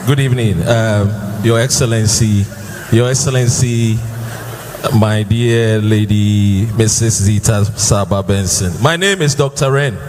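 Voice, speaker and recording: A man's voice: lowish voice, darkish tone, slight background noise. Formal man asking a question in a hall. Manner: formal, deliberate, with pauses. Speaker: audience member asking a question. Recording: hall reverb.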